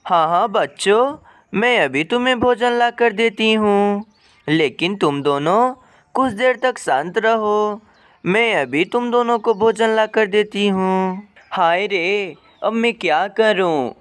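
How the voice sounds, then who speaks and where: A man's voice: high voice, takes it slowly, fairly bright tone, clean tone. A man voicing a worried, sorrowful mother bird in a cartoon moral story, lamenting and pleading tenderly. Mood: soothing. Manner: plaintive, lamenting.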